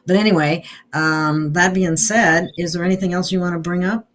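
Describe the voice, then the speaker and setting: A woman's voice: lowish voice, fairly even intonation, moderate pace, a bit bright. A woman hosting a casual online chat, talking in a loose, chatty way and laughing often. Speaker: host.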